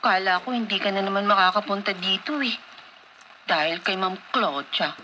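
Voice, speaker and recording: An actress playing a worried, gently jealous young girlfriend in a Filipino radio drama, with a plaintive delivery. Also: medium pitch, moderate pace, close to an everyday voice. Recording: noisy radio drama broadcast.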